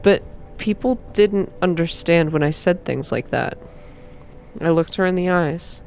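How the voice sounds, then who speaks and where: A woman reading a visual novel aloud as measured, unhurried ASMR narration. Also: fairly low pitch, a little mellow. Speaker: ASMR reader and game player. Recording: music behind the voice. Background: background music.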